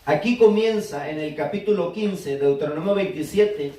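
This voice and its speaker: A man's voice: on the high side, normal speed, mellow. A man preaching a sermon to a congregation, teaching and asking them to answer back. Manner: teaching, asks the congregation to respond. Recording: echoey church room from far off.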